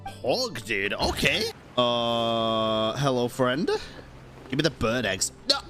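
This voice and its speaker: A woman's voice: very deep voice, very animated, takes it slowly. A woman streaming a video game, blurting short, casual, sweary reactions as she plays. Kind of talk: casual gameplay commentary with swearing. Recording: loud game music behind voice.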